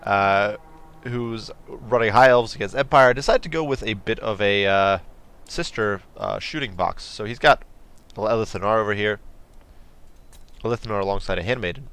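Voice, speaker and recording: A man commentating a strategy game battle in a quick, chatty way, his voice nasal from a cold. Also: lowish voice, fairly bright tone, smooth. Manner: quick, chatty, many fillers and restarts. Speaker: gameplay commentator.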